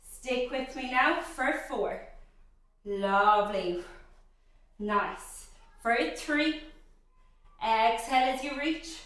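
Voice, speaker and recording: A woman with a croaky, dry voice, cueing a Pilates class with steady counts and frequent praise. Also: high voice, very echoey, distant mic, poor audio. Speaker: Pilates instructor.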